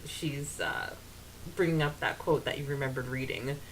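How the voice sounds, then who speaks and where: A woman co-hosting a podcast in casual, halting, rambling conversation full of fillers. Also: very deep voice, steady tone, slight background noise, poor audio. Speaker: podcast co-host.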